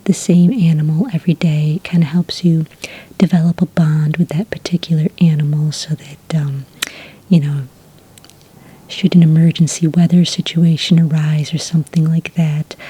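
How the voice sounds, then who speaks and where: A woman doing a soft-spoken, whispered ASMR roleplay as a friendly witch at a hotel front desk, gentle and polite in a breathy voice. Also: sits low. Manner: gentle, polite, customer-service phrasing.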